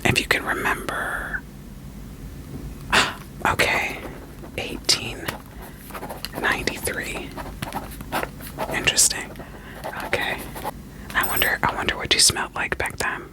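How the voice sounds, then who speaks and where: A person whispering an ASMR roleplay as a doting, quirky therapist, soothing and reassuring with gentle questions. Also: slow pace, long pauses, poor audio. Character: doting, quirky therapist evaluating a vampire client.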